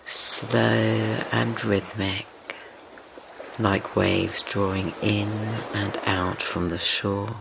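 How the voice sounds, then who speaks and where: A man leading a guided meditation in a gentle, soothing, tender manner, unhurried and with long pauses between phrases. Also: on the low side, gravelly. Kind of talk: slow guided meditation, yoga nidra. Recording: steady ocean wave noise behind voice. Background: ocean wave sounds.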